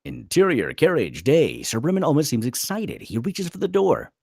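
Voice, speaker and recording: A man's voice: on the high side, very animated, moderate pace, gravelly, darkish tone, husky, dry recording, clean studio sound. A man narrating a screenplay dramatically at a table read.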